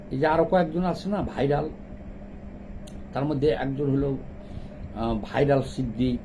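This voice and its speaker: A man's voice: fairly high pitch, mellow, slight background noise, low-quality recording. A middle-aged man with a Bangladeshi accent delivers unscripted, opinionated political commentary, scolding and repeating phrases for emphasis.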